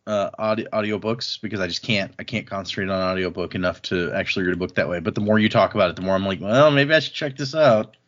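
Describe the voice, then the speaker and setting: Low-voiced man chatting, slightly muffled. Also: quick pace, a bit bright. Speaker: podcast co-host. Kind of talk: casual book discussion. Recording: slightly dull, band-limited sound.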